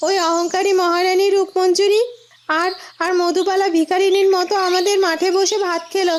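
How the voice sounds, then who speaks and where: A woman's voice: very high voice, little pitch movement, normal speed, a little hiss or hum. A woman playing a humble, grateful village wife in a cartoon, earnest and wide-eyed. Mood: amazed. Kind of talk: cartoon fairy-tale dialogue. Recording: music and birdsong behind.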